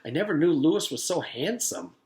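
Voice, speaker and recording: A man voicing an excitable, wary young schoolgirl in a storybook, with an expressive, girlish manner. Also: on the high side, normal speed, echoey room, pitched above the performer's own voice, lightly acted. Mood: smitten.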